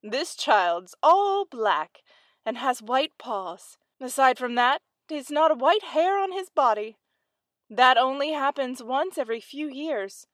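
A woman's voice: sits high, animated, slow, no echo. A woman voicing a sly, greedy male cat in a fairy tale, with a dry, offhand drawl. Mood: coaxing.

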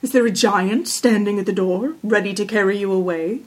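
A woman voicing a stern, fatherly king in a fairy tale, speaking in a measured, commanding way. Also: medium pitch, close to an everyday voice, low-quality recording. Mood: concerned. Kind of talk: fairy tale storybook character voice.

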